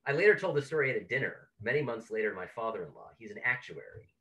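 A man's voice: medium pitch, animated, fast talker, a bit bright, low-quality recording. A man hosting an online talk, telling an anecdote as an introduction over a video call. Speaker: host introducing a speaker.

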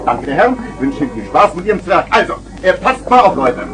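Casting: loud market crier, high and very animated, wheedling theatrical patter, old children's radio play. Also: male voice, brisk, music in the background. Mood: jovial hawking. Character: boisterous male street hawker selling garden gnomes. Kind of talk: 1970s German children's radio play acting. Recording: vintage vinyl radio play recording.

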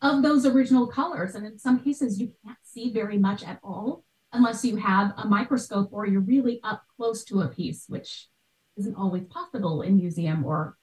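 American lady chatting and explaining on a video call, mid pitch, echoey room. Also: moderate pace, a little mellow. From United States. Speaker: podcast guest, art history expert. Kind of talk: conversational explaining in an interview. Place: small bright room at home. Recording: roomy video-call sound.

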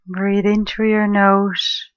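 A woman leading a guided meditation in a gentle, soothing, unhurried manner, leaving long pauses between phrases. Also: medium pitch, flat delivery, no echo, lo-fi sound. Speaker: meditation guide, life coach and therapist.

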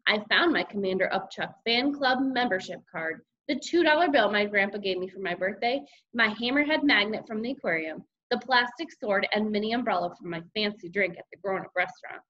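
Woman voicing an animated, excitable young boy. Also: mid-range voice, lo-fi sound. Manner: animated, childlike exclamations and grumbling. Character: excitable, mischievous young schoolboy telling his own misadventures. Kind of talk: first-person children's audiobook narration.

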